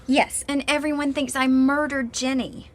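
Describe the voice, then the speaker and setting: A woman's voice: high voice, little pitch movement, moderate pace, close to an everyday voice. An actress playing a spoiled, impatient nineteen-year-old college girl in a radio drama, petulant and pushy. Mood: desperate, insistent.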